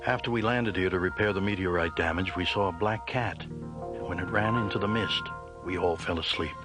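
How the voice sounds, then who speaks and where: A man's voice: sits low, normal speed, close to an everyday voice. An actor playing an earnest, urgent space crewman in a science fiction audio drama. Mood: grave, explaining. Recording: music under the voice.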